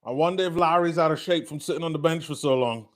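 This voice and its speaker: Man, British accent with North American blend, somewhat high voice, chatty live game commentary, lots of fillers. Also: fast talker. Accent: British mixed with North American. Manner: chatty, filler-heavy, surges excitedly on big plays. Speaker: watch-party streamer.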